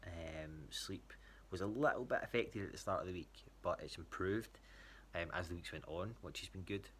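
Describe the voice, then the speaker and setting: A man's voice: sits low, moderate pace, a bit bright, slight background noise. A Scottish man giving a casual spoken check-in, talking in a hesitant, rambling way. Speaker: athlete giving a training check-in to a coach.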